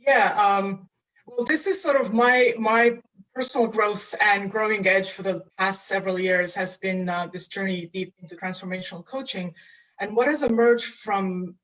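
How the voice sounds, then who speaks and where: A woman's voice: medium pitch, normal speed, fairly bright tone, rough recording. A woman from Estonia, an astrologer and coach, talking casually in an interview over a video call, earnest and searching for words.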